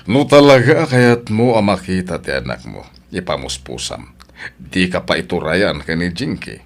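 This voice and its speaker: An older father and grandfather in an Ilocano audio drama. His voice is deep, and he speaks as a stern elder who aches for family. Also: sing-song, moderate pace, vocal fry, full character voice. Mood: stern, pleading. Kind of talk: Ilocano radio-style family drama acting.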